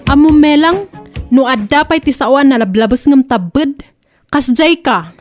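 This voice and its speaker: An actress playing an indignant, sharp-tongued woman who reproaches and taunts a gossip in a comedy radio drama. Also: high-pitched, measured pace, faint music behind. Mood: indignant, taunting.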